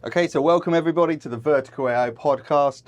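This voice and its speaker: A man's voice: a little high, quick pace, music in the background. A man hosts an interview podcast in a studio set up at a brewery. He keeps it moving with easy nods of agreement and short questions. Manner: smooth, affirming, steers with short prompts. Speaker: podcast host. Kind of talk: hosting an interview.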